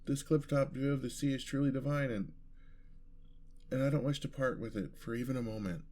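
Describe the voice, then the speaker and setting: A man playing a gentle, lovestruck, world-weary vampire in an ASMR roleplay, with courtly, flowery and tender phrasing. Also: mid-range voice, fairly even intonation, fairly quick, lightly acted. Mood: fond, wistful. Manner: formal, flowery, tender old-fashioned phrasing.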